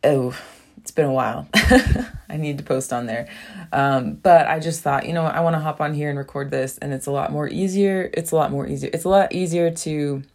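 A woman's voice: low voice, darkish tone. A young woman hosting a casual solo podcast from a small bathroom at home, rambling with lots of fillers and frequent laughs. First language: English. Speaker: podcast host.

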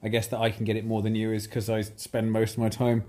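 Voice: medium pitch, completely flat delivery, quick pace, darkish tone. A podcast co-host from the English Midlands, teasing dryly in casual chat.

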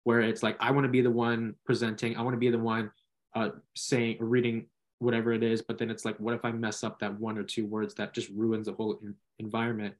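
A man's voice: on the low side, monotone, brisk. A man from the United States hosting a casual interview, with wordy, roundabout phrasing. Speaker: interview host.

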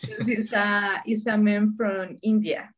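A Colombian woman, a native Spanish speaker, speaking English haltingly in casual conversation, often searching for words. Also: medium pitch, level tone, muffled recording. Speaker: English learner.

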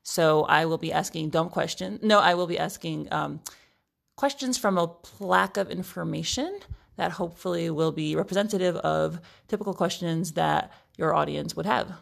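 A woman's voice: lowish voice, normal speed, creaky. A woman, chatting casually in a playful, wry, articulate way as a podcast co-host. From Brooklyn, New York, United States. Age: 30s. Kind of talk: casual chat.

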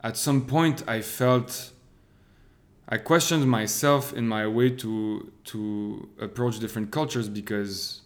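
Thoughtful man, halting. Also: on the low side. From Quebec. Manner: thoughtful, halting with many restarts. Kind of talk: casual conversation.

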